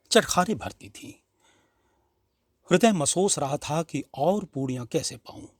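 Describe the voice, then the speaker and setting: A man narrating a literary story in a measured, expressive, dramatic reading style. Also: medium pitch, no room sound, studio quality.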